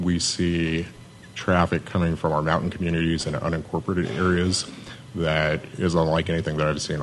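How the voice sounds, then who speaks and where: A man from Southern California with a Californian American accent, giving a matter-of-fact, personable live presentation as a city development manager in a monotone voice. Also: low voice, a little hiss or hum.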